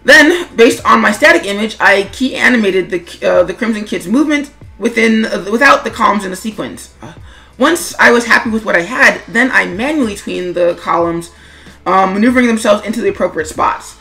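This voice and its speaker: A woman with a mid-pitched voice narrates her own creative commentary over background music. She is chatty and informal, slipping in wry asides and turning to the listener with rhetorical questions. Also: animated, normal speed, fairly bright tone, low-quality recording. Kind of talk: semi-scripted creator commentary.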